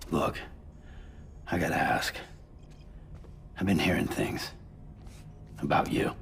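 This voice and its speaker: An actor playing a gruff, taciturn porter in a video game cutscene, with a gravelly voice and terse, hesitant delivery. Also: male voice, very deep voice, slow, fairly bright tone, full character voice. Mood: guarded.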